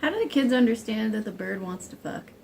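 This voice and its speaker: A woman's voice: a little high, expressive, a little fast, a little mellow. A woman casually chatting over a picture book, making joking, bawdy, wry side remarks. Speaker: co-reader who comments on the story. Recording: clearly noisy home recording.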